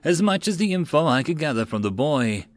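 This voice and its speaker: A man's voice: on the high side, very animated, brisk, no echo, voice pushed up. Man reading as a formal intelligence officer. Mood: measured. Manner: formal, explanatory. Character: measured male intelligence officer reporting to his superior.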